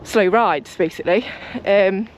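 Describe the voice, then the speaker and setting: A young woman, chatting casually to her camera as a cycling vlogger, rambling and talkative, her voice a bit croaky from just waking up. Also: medium pitch, measured pace, slight background noise, rough recording. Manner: chatty, rambling, talks a lot. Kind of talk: casual vlog chat to camera. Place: home, then outdoors on a walk.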